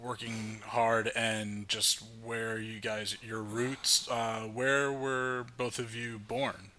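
A male interviewer with a bright, forceful voice. His questions come out hesitant and rambling. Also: fairly low pitch, little pitch movement, slow, a little hiss or hum. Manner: hesitant questions, frequent backchannel. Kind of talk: casual interview.

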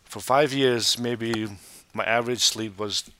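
A man's voice: mid-range voice, steady tone, a little slow, bright, forceful tone, a little hiss or hum. A man from Montenegro who owns restaurants, talking casually in an interview with many restarts and fillers. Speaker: restaurant owner.

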